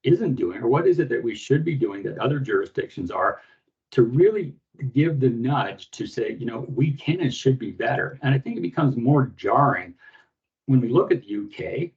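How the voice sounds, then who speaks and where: A man's voice: mid-range voice, vocal fry, a little mellow, highs cut off. A Canadian man hosting a podcast over a video call, earnest and full of restarts as he walks through statistics. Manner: earnest, restarts often, many fillers. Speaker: podcast host.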